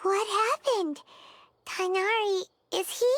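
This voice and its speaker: A woman voicing a tiny, chirpy floating companion with a squeaky voice. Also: takes it slowly, a bit bright, full character voice. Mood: curious.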